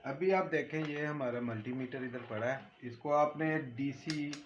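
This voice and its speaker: A man's voice: medium pitch, normal speed. A man giving an informal hands-on repair tutorial, speaking straight to viewers and repeating his points. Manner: addresses viewers directly, repeats points and checks for understanding. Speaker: electronics repair tutorial host. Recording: echoey room, background noise.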